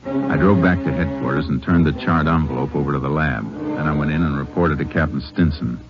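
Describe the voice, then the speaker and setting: Very deep-voiced laconic Texas Ranger. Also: male voice, fairly quick, loud music behind the voice. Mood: measured narration. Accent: American. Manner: laconic, matter-of-fact. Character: calm, methodical Texas Ranger investigator and narrator. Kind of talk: 1950s radio western acting and narration. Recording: noisy, dull 1950s radio recording.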